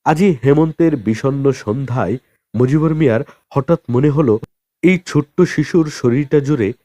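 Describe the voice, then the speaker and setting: A man narrating a novel as an audiobook in a dramatic, expressive storytelling style with deliberate pauses. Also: medium pitch, dry recording.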